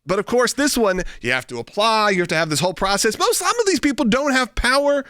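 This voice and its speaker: High-pitched American male political commentator. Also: very animated, fast talker, a bit bright, no echo, studio quality. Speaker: talk show host.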